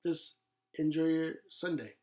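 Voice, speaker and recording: A man's voice: fairly high pitch, measured pace, darkish tone. A man in a casual podcast chat, slangy and agreeable, restating his points as he goes. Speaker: podcast guest. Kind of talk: casual podcast chat about movies and games.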